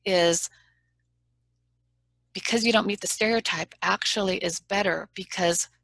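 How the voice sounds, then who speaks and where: A woman's voice: medium pitch, steady tone, measured pace, vocal fry, fairly bright tone, no echo. A woman trial lawyer as a guest in an interview, talking in an earnest, frank and persuasive way. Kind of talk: conversational interview.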